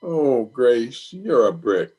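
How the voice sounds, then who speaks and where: A man's voice: mid-range voice, very animated, takes it slowly, a little mellow, breathy edge, heavily acted, poor audio. A man playing a flustered, blustering middle-aged bachelor in an old-time radio comedy, hemming and sheepish. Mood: sheepish, apologetic.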